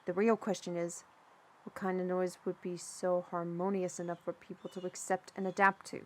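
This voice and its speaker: A woman's voice: fairly low pitch, little pitch movement, normal speed, a little mellow, slight background noise, lightly acted. Woman playing a blunt, outspoken fantasy lecturer. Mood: dry, musing. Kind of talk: audio drama acting.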